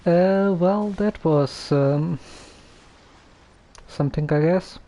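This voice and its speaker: A man streaming a game casually, hesitant and halting as he talks and reads text aloud. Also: fairly high pitch, mellow. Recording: muffled lo-fi microphone.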